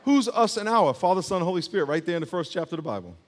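A man's voice: high voice, big pitch swings, racing. A male pastor preaching a sermon emphatically, calling on the congregation to repeat words back to him. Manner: emphatic preaching with call-and-response. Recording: long hall reverb.

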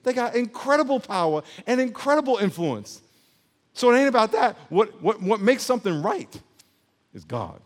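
High-voiced emphatic male preacher. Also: big pitch swings, normal speed. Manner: emphatic preaching with call-and-response. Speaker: pastor. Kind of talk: sermon. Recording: long hall reverb.

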